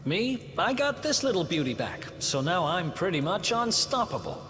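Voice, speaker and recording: A streetwise thief, animated and wry, game cutscene. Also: male voice, sits high, moderate pace, slight background noise. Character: cocky young male thief, wry and quick. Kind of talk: video game voice acting. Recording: game cutscene with long reverb.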